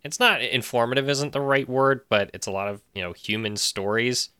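A man's voice: medium pitch, steady tone, normal speed, sharp tone, clear, resonant tone, dry recording, clean studio sound. A wry, self-deprecating man chatting casually as a guest in a podcast interview. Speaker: podcast guest, show co-creator.

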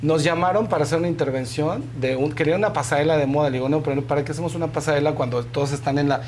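A man's voice: on the high side, rapid-fire, a little mellow. A Mexican man speaking as an interview guest, chatty and explanatory, often checking for agreement with small tag questions. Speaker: guest, fashion film festival organizer. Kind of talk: casual interview chat. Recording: clear background noise.

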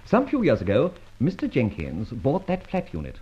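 An actor playing a debonair, self-assured gentleman rogue in a breezy, urbane manner, as in an old radio adventure serial. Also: medium pitch, huge pitch swings, moderate pace, husky. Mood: brisk, assured. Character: suave, debonair gentleman adventurer, secretly a roguish thief. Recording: dull, lo-fi old radio recording.

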